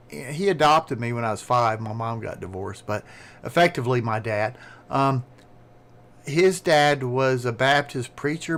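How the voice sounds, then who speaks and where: A man with a Southern American accent chatting casually on a live stream, talking fast and rambling. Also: medium pitch, a bit bright. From southern United States. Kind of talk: casual chat.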